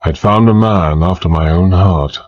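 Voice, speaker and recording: An actor playing a British gentleman adventurer, dry and weary, in a radio drama that he also narrates in the first person. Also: deep voice, normal speed, muffled recording, natural voice. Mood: reflective narration.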